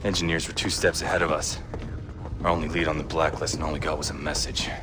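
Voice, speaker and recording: An actor playing a gruff, resolute covert agent and team leader in a video game, speaking in terse commands. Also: male voice, extremely low pitch, flat delivery, quick pace, background noise. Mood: stern resolve. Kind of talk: video game cutscene acting.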